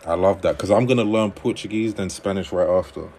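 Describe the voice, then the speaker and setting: A man hosting a livestream on dating advice, reading viewer comments aloud and answering them in a relaxed conversational way. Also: deep voice, a little fast, a little hiss or hum.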